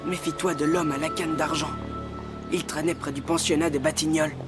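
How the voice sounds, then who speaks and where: A woman's voice: low voice, fairly even intonation, a little fast, natural voice. A woman voicing an earnest, protective young boy, a loyal friend, in a cartoon. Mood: worried, warning. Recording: music behind the voice.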